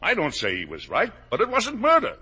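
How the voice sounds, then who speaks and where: Blustery american dad in an old radio play, raspy and really animated, middle aged, defensive. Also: male voice, high voice, brisk, full character voice. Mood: heated, defensive. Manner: emphatic, colloquial, insistent. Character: blustering middle-aged father, self-made factory owner, defensive. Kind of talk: 1950s American radio drama acting. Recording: old radio drama broadcast.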